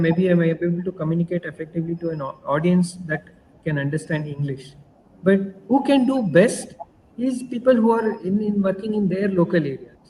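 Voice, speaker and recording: Fairly high-voiced man. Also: big-room sound, slight background noise, rough recording. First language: Kannada. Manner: thoughtful, explanatory, searches for words. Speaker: panelist. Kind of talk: virtual panel discussion. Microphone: video call.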